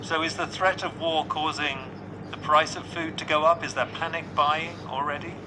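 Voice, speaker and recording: A man presenting radio news, asking probing, measured questions in an interview. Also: fairly high pitch, fairly even intonation, background noise.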